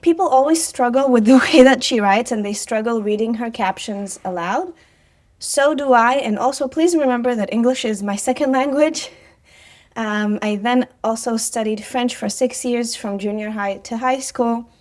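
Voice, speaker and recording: A woman's voice: on the high side, normal speed, a little mellow. A woman, chatting casually on her vlog with sarcastic asides and frequent fillers. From Israel. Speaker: vlogger, personal trainer.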